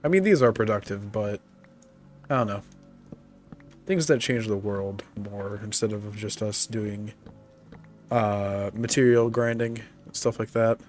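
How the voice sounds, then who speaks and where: A man streaming a video game, talking casually in a relaxed, rambling way with many fillers, his voice congested from a cold. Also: on the low side, a little slow, long pauses, soft background music. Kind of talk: casual gaming commentary.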